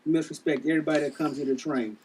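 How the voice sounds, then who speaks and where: A man's voice: fairly high pitch, steady tone, racing, darkish tone, natural voice. A man playing a protective, flirtatious gym trainer in a table read, with casual slangy speech. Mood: stern, confrontational. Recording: noisy, distant room recording.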